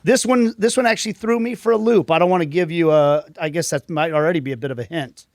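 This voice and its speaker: A man's voice: a little high, quick pace, dry recording, studio quality. Upbeat man hosting a trivia quiz. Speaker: podcast host. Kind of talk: casual trivia quiz and show intro.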